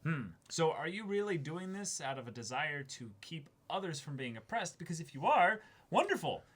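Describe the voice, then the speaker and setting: A man's voice: a little high, very animated, moderate pace, fairly bright tone. A man co-hosting a casual unscripted discussion, talking in a wry, mock-serious way. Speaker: co-host of a reaction show.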